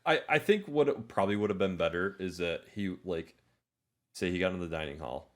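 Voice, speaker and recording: Deep-voiced man chatting casually. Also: expressive, fairly quick. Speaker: podcast co-host. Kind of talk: casual movie discussion chat.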